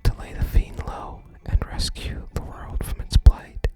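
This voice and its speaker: A person whispering softly while hosting an ASMR video game playthrough and reading game text aloud. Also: measured pace. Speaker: host of a gaming ASMR channel. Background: game music.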